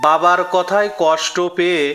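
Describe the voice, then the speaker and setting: A man narrates a cartoon story in a bright, forceful voice, pitched a little high. Soft music plays behind him. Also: fairly even intonation, moderate pace.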